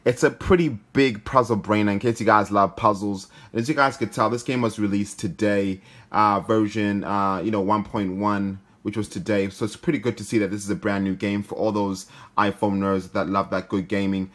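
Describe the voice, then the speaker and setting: Low-pitched man giving casual gaming commentary. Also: steady tone, moderate pace, few pauses, fairly bright tone. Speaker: gaming video host. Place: indoor room, filming a handheld phone.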